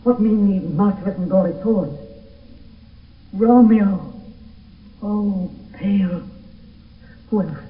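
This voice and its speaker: A man's voice: sits high, slow, pauses often, recorded from a distance. An old holy friar, anxious and full of alarm, in classical radio drama. He exclaims in agitation. The vintage recording is echoey and muffled. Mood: horror. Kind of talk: 1970s radio Shakespeare acting.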